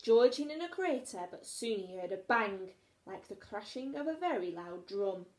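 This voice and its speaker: A woman's voice: medium pitch, animated, moderate pace, darkish tone. A woman teacher reading a children's story aloud expressively, recorded in an echoey room.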